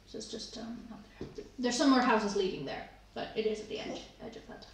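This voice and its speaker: A woman running a tabletop game at a home dining table, talking with many ums and pauses. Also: a little high, gravelly. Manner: hesitant, with frequent ums. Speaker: game master. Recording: echoey room, noisy, from far off.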